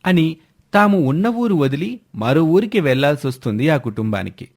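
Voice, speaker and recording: A man's voice: a little high, sing-song, normal speed, creaky, a little mellow. A man narrating a scripted moral family story as the storyteller.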